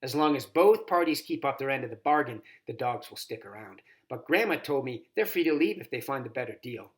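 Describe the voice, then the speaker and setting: A man's voice: mid-range voice, lively intonation, brisk. A man reading a children's novel aloud expressively, as a volunteer story reader.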